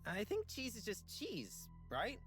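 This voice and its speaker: Earnest, flustered, animated young man. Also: high voice, measured pace, soft background music, heavily acted. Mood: hesitant. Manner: hesitant, stammering. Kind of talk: visual novel voice acting.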